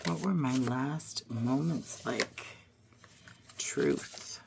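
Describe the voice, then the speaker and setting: A hoarse woman getting over a cold, musing aloud as she pulls and reads tarot and oracle cards. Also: extremely low pitch, drawn-out words, noisy background. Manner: musing aloud, drifting to soft murmurs. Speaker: tarot reader. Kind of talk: casual card-reading vlog.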